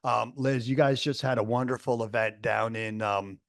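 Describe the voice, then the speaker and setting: A man's voice: medium pitch, fairly even intonation, moderate pace, vocal fry, no echo, studio quality. A man co-hosting a podcast, chatting casually, enthusiastic and hyping things up, often repeating words. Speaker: podcast co-host.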